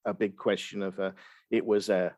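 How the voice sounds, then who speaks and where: Halting scholarly man, lowish voice, dry sound. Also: little pitch movement, darkish tone, no room sound. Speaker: podcast guest, Chinese literature expert. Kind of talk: explanatory interview talk.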